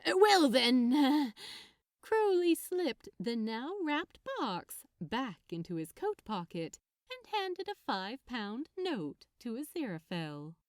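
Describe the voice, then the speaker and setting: A woman narrating a piece of fiction as an expressive scripted reading. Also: a little high, slow pace, dry recording, clean studio sound.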